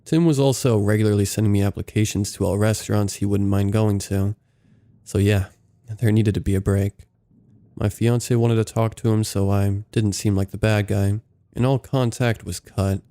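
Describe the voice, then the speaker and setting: Man, gravelly low voice, dark tone, steady horror story narration. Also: normal speed. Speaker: narrator reading submitted stories.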